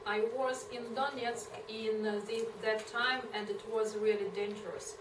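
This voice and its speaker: A woman from eastern Ukraine with a Ukrainian accent, telling her story haltingly in English with many fillers and restarts. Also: fairly high pitch, fairly even intonation. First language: Ukrainian or Russian. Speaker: interview guest. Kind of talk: personal testimony in a second language. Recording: echoey room, mic far off, noisy.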